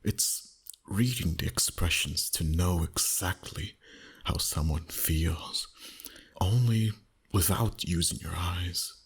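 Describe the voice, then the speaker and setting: A very low, heavily breathy male vampire, acted out by a man for an ASMR roleplay. He speaks slowly and intimately to one listener, often sinking toward a whisper. The manner is dominant, flirtatious and teasing. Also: full character voice. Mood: calm, intimate. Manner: slow, deliberate, flirtatious.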